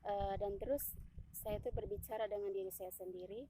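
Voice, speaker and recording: A woman in her thirties from Indonesia, hosting her own channel and telling a personal testimony in a casual, halting way, with many fillers and self-corrections as she speaks to viewers. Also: mid-range voice, fairly even intonation, dark tone, a little hiss or hum, low-quality recording. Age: 30s.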